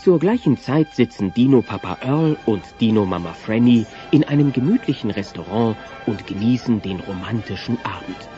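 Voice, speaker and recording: A man's voice: lowish voice, expressive, moderate pace, few pauses, warm tone. A man narrating a scripted children's audio story in a playfully ironic manner. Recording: muffled cassette with music behind.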